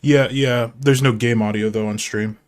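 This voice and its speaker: A man's voice: medium pitch, steady tone, normal speed. A man with a nasal voice streaming live, chatting casually and rambling to himself and his chat while he sets up.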